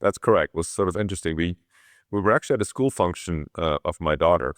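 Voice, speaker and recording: A man's voice: deep voice, fast, no room sound, studio quality. A middle-aged man from Switzerland telling his own story conversationally as an interview guest. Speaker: interview guest, orthopedic surgeon.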